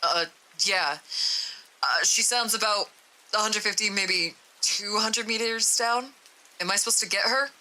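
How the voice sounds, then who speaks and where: A woman's voice: mid-range voice, measured pace, pushed and bright, poor audio. A woman voicing a reluctant, uneasy man in a horror story read-aloud, hesitant and informal. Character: reluctant, uneasy man sent down a dark stairwell.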